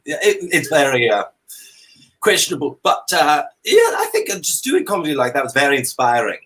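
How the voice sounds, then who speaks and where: A man's voice: fairly high pitch, wildly swooping pitch, fairly quick, fairly bright tone, poor audio. A man from Canada chatting comically as a talk show guest in an affected, theatrical dandy persona, with hesitant phrasing. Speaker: drag comedy performer, talk show guest. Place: indoor room at home, on a video call. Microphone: video call.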